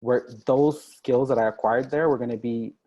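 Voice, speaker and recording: A man's voice: medium pitch, steady tone, moderate pace, dark tone, lo-fi sound. Conversational young man, video call. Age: young adult. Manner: conversational, many ums and "right?" tags. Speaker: diplomat and guest speaker. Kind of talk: informal online info session.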